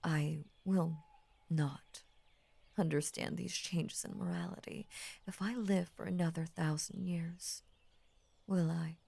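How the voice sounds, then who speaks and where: Woman doing a creaky low-voiced yandere lamia, vain n obsessively devoted, soft near-whispers, close dry roleplay audio. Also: steady tone, dry recording, heavily acted. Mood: menacingly devoted. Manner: soft, breathy near-whispers, halting, grandiose formal phrasing. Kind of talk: intimate roleplay audio acting.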